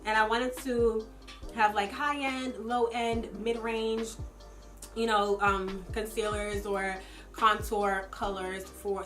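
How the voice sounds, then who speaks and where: A young woman vlogger who is losing her voice and has a runny nose. She talks through makeup products in a chatty, meandering way, full of filler words and personal takes. Her voice sits at a middling pitch, and soft music plays behind her. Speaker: beauty vlogger. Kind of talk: casual makeup product review.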